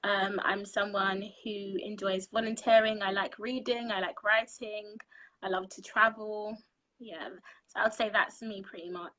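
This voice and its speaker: Bright-voiced young woman on a call. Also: medium pitch, steady tone, poor audio. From London, UK. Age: 20s. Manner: measured, frequent ums. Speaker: interview guest. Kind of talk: answering interview questions. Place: indoor room. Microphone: video call.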